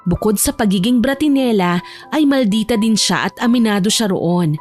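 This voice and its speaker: A woman's voice: mid-range voice, moderate pace, darkish tone, faint music behind. A woman narrator delivering dramatic scripted storytelling for an audio drama.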